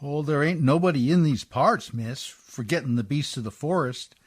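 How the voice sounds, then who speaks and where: A man acting in a radio play as a rough, good-natured, hard-drinking Canadian riverboat skipper with a folksy, deferential, ungrammatical drawl. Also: on the high side, lively intonation, moderate pace. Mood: genial, hospitable.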